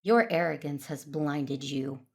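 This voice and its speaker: A stern, righteous Jedi warrior in a space-fantasy audio drama. The voice is low and very measured, with solemn, formal wording. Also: female voice, slightly rough, full character voice. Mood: defiant. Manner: solemn, formal, deliberate diction.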